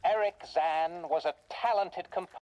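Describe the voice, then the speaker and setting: A woman playing a folksy, eerie radio host who narrates macabre horror tales with theatrical pauses in a video game. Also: lowish voice, dark tone, highs cut off, lightly acted. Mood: eerie, dramatic.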